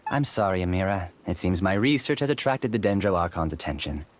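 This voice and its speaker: An actor playing a polite, calculating, obsessed scholar in a video game, with a courteous, theatrical delivery. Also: male voice, deep voice, lively intonation, a little fast, a little hiss or hum. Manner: courteous, theatrical, smooth-talking.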